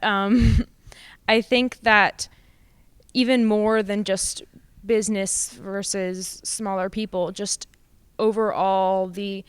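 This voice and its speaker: Very clear, smooth teenage girl, dry sound. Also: mid-range voice, dry recording. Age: late teens. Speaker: student actor being interviewed. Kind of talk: casual earnest interview answer.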